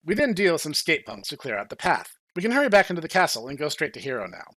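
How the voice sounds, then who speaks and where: A man narrates a game story playfully as a podcast host. His pitch is a little high and very lively, with a bright tone and a dry, close sound. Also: fast, no echo.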